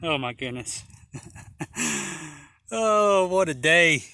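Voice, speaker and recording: Man, hoarse voice, slow awed talk, bright tone, outdoors in a field. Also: on the high side, no room sound. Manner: excited, slow and awed, many exclamations. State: hoarse, losing his voice. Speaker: metal detecting vlogger. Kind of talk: casual outdoor narration to camera.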